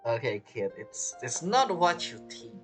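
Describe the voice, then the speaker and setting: A woman giving casual gameplay commentary, reacting and thinking out loud as she streams. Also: rumbling low, big pitch swings, measured pace, faint music behind. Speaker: game streamer.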